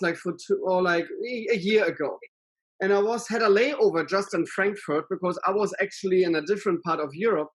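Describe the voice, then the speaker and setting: A man in his forties with a German accent, co-hosting a casual chat and telling stories with lively gestures from his living room over a video call. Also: high-pitched, rough recording. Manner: animated storytelling with lively hand gestures. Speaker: podcast co-host. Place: living room with bookshelves and a painting.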